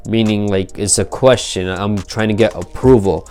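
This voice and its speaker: Low, mellow-voiced young man lecturing earnestly. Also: moderate pace, clean tone, soft background music. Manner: earnest, lecturing, personal testimony. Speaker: video creator. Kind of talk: self-help vlog monologue.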